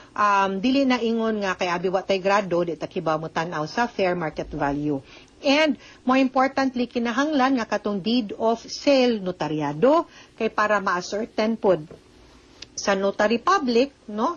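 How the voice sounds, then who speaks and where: A woman's voice: on the high side, dull audio. A woman with a Cebuano Filipino accent hosts an advice program, explaining things conversationally to listeners, mixing in English terms and often checking for agreement with short tag questions. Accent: Filipino, Cebuano-speaking. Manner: mixes in English phrases and legal terms. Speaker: host giving legal advice on a radio drama letter program.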